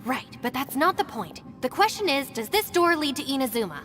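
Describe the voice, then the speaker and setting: A woman's voice: sits high, big pitch swings, brisk, fairly bright tone, big-room sound, soft background music. A woman playing a dry, sensible young deputy in a video game, wry and matter-of-fact as she teases her boss.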